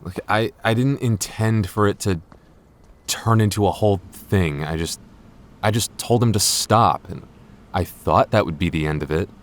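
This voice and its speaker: A man's voice: on the low side, moderate pace, close to an everyday voice. A man playing a jealous, apologetic boyfriend in an audio roleplay, speaking earnestly with hesitant stammers. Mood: apologetic, pleading. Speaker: voice actor.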